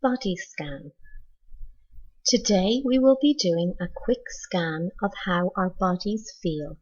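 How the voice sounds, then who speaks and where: A woman leading a scripted guided meditation like a teacher, in a measured, instructional manner with deliberate pauses. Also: lowish voice, lively intonation, breathy edge, dull audio.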